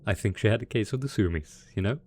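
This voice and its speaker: A man's voice: lowish voice, animated, fast, a little mellow. A man playing a gleeful, smug and possessively doting mad scientist supervillain boss in a theatrical, playful roleplay audio drama. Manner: theatrical, playful, mock-innocent, chuckling.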